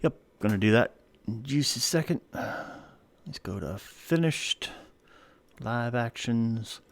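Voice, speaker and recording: A man hosting a live game stream, chatting casually and narrating his moves in a relaxed, self-mocking way. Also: mid-range voice, takes it slowly, vocal fry. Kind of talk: casual live-stream chat while playing.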